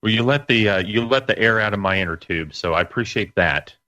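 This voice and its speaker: A man's voice: on the low side, brisk, sharp tone, no room sound. A man chatting casually as an interview guest on a radio show.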